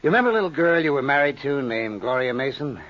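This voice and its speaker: An American actor playing a sly small-time schemer in a 1950s radio crime drama, insinuating and full of false friendliness. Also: male voice, mid-range voice, very animated, fast, close to an everyday voice. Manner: smooth-talking, insinuating, mock-friendly. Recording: dull, muffled old radio recording.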